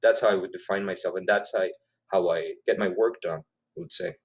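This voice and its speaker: Middle-aged male author from Ecuador, native Spanish, lowish voice, musing and self-correcting in conversation. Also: brisk, creaky. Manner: thoughtful, self-correcting, repeats words. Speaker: author guest. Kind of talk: casual interview.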